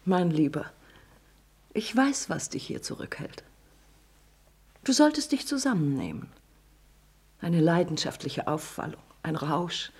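A woman playing a proud, volatile actress and mother in a stage drama, cutting in anger and soothing when gentle. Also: on the low side, lively intonation, a little slow, pauses often, breathy edge, exaggerated voice. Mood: condescending.

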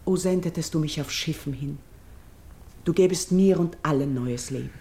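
Solemn, noble Greek priestess. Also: female voice, low-pitched, dark tone. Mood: earnest, pleading. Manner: solemn, measured, elevated declamation. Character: noble Greek king's daughter turned priestess, earnest and steadfast. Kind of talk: classical verse radio drama acting.

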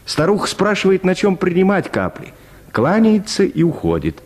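A man's voice: high-pitched, wildly swooping pitch, moderate pace, darkish tone. A man narrating a dramatized literary short story for radio, in scripted prose.

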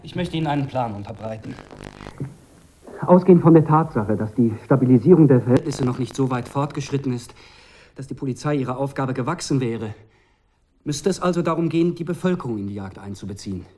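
An actor playing a cool, calculating young strategist in a radio drama, speaking in formal, measured, precise phrases. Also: male voice, mid-range voice, warm tone, lightly acted. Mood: calculating, persuasive. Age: young adult. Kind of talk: German radio drama acting.

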